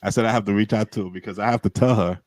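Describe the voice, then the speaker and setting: A middle-aged man hosts a casual podcast in a very deep, low voice. He rambles in a relaxed way and restarts his sentences often. The sound is dry and close. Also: very fast, no echo. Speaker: podcast host.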